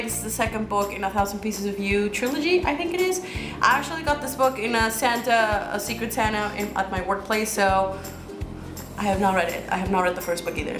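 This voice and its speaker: A young woman from Mexico whose first language is Spanish, rambling chattily through a casual book haul vlog with frequent restarts. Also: a little high. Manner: chatty, rambling, many restarts and repeated words. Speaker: book vlogger. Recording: roomy sound with music underneath.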